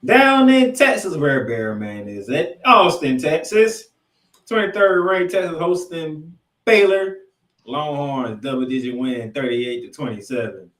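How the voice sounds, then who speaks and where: A man hosting a sports podcast, recapping games in an animated, emphatic showman's delivery with dramatic announcements. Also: fairly high pitch, measured pace. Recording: echoey room, distant from mic.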